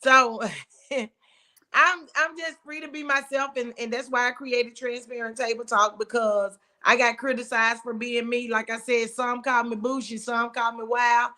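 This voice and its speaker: A woman hosting a casual talk show, speaking with a big, emphatic voice. Her tone sits high and comes out bright and sharp. She talks in an outspoken, open way. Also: fairly even intonation, a little slow. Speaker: host. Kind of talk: casual chat.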